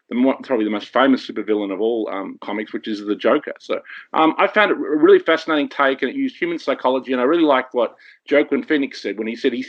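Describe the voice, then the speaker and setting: A man, a filmmaker guest on a podcast, giving rambling, opinionated film views in quick bursts. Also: medium pitch, fairly bright tone, lo-fi sound. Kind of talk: podcast discussion.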